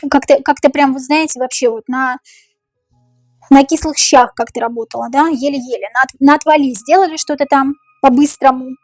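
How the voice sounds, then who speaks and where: A woman doing a tarot reading, repeating each question slowly and musing aloud over the cards, her voice tiring and going hoarse. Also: sits high, fairly even intonation, a little mellow, no echo, soft background music. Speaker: tarot reader.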